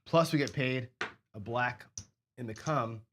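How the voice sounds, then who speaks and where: A man hosting a craps strategy show, hoarse and sniffly, chatting casually as he thinks aloud and counts out chips and bets. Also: medium pitch, measured pace, a bit bright, a little hiss or hum. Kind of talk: casual play-along commentary.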